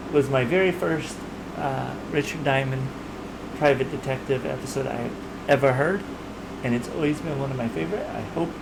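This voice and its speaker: A man's voice: medium pitch, creaky. A man hosting a livestream, casually introducing an old radio episode in a friendly, conversational way. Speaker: livestream host. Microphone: streaming mic. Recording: noisy home stream.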